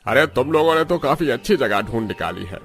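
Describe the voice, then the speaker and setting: A man playing an appreciative, reasonable village headman with a measured, approving manner in a cartoon story. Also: medium pitch, lively intonation, a bit bright. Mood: impressed. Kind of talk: Hindi cartoon story dialogue.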